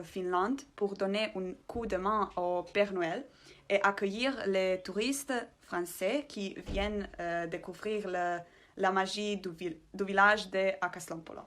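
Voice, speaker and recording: Woman, testimonial; medium pitch, upbeat, some hesitation. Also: a bit bright. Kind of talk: personal testimony.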